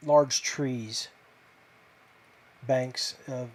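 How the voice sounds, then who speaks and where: Casual male podcast host, thinking out loud. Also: medium pitch, steady tone, very slow, a little hiss or hum. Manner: hesitant, off-the-cuff, self-deprecating. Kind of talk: casual fishing talk to viewers.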